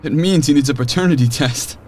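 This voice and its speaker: A man's voice: on the high side, fast, darkish tone, natural voice. A man playing a boastful, teasing card player in casual audio drama banter, with faint noise behind him. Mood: smug, playful. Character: cocky, teasing male card player among friends.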